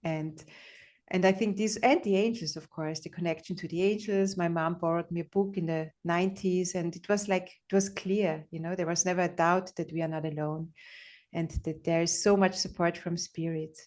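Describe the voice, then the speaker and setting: A woman's voice: lowish voice, fairly even intonation, moderate pace, a little mellow. Austrian-accented woman telling her story. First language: German. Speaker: guest, animal communicator. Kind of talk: casual personal storytelling.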